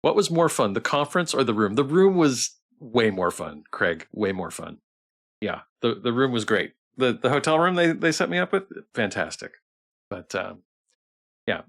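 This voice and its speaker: A man's voice: medium pitch, animated, normal speed, creaky, fairly bright tone. A tired man hosts a casual game livestream in a mid-range voice. He talks to his viewers informally, rambling a little and often restarting or repeating a phrase as he finds his words. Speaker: livestream host playing a visual novel. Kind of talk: casual chat with stream viewers.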